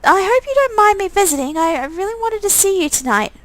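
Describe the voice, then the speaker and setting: Shy young female game character, acted by a woman, high and bright, coy and hesitant. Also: very animated, a little fast, no room sound, exaggerated voice.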